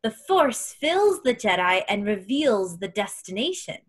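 A woman's voice: mid-range voice, very animated, slightly rough. A woman playing a cryptic alien seer who speaks in solemn, oracular broken phrases. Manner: slow, oracular, broken syntax.

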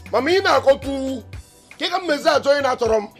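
A man's voice: very high-pitched, lively intonation, fast talker, creaky, over music, exaggerated voice. A young man with a Nigerian accent playing a defiant son who argues with his mother, exasperated and mixing in Pidgin and Igbo phrases. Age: young adult.

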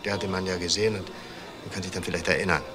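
An actor playing a middle-aged married man in a crime drama, self-justifying and articulate, speaking in deliberate phrases with weighty pauses. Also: sits low, steady tone, music in the background, natural voice. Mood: urgent, persuasive. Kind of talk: German TV crime drama dialogue.

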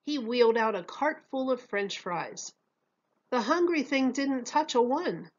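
A woman's voice: a little high, lively intonation, unhurried, dull audio. A woman narrating a children's picture book expressively, like a teacher reading aloud.

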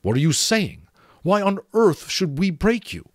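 An uncertain magician from a fantasy tale, performed by a man reading aloud. He speaks in a lifted, animated voice. He sounds earnest and questioning, with emphatic delivery. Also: medium pitch, slow, no echo, clean studio sound, voice pushed up. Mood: demanding, alarmed.

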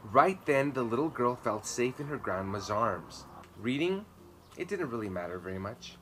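A man's voice: medium pitch, animated, normal speed, fairly bright tone. A man in his thirties reading a children's story aloud with emphatic, dramatic phrasing in a garden. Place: outdoor garden patio, seated. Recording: clear ambient noise behind voice.

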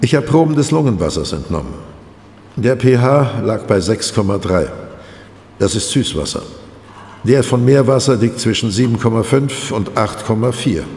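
In a reverberant room with faint noise, a male forensic pathologist, played by an actor reports in a radio drama. His mid-pitched voice is exact, formal and a little pedantic. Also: lively intonation, moderate pace, a little mellow, lightly acted. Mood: pedantic, assured. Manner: precise, formal, clinical. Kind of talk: German radio drama dialogue. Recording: echoey hall, faint background noise.

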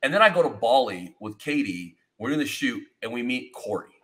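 A man in his thirties hosts a relaxed podcast chat from a home studio, speaking with real energy and drifting from story to story. His voice is bright and forward, and his pitch swings widely as he talks. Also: fairly quick. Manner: energetic, rambling, expressive hand gestures. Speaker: podcast host. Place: home studio with foam-panel wall, indoors.

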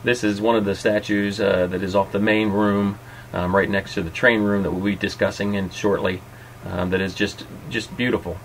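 A man's voice: fairly low pitch, steady tone, moderate pace, music in the background, low-quality recording. A middle-aged man hosting and narrating an informal guided tour. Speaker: host and narrator of a restaurant tour.

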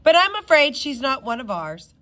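A woman plays a proud storybook headmistress. She is firm, formal and assured, in a high voice with lively pitch. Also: moderate pace. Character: proud, firm, impeccably dressed female school head.

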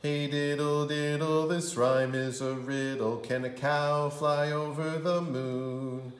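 A man's voice: fairly high pitch, flat delivery, slow pace, faint music behind. A man reading a children's storybook aloud like a kindergarten teacher, with theatrical, sing-song delivery. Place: indoor room. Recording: roomy indoor echo.

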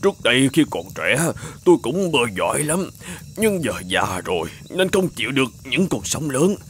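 A man's voice: medium pitch, sing-song, normal speed, lightly acted. A man voicing a kindly, grateful old man in a children's fairy-tale cartoon. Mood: grateful, earnest.

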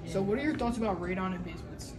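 A man's voice: sits high, lively intonation, rapid-fire, background noise. A teenage male student doing casual interviews on a phone in school hallways. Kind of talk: casual street-style interview. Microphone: handheld phone. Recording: distant phone recording with loud chatter.

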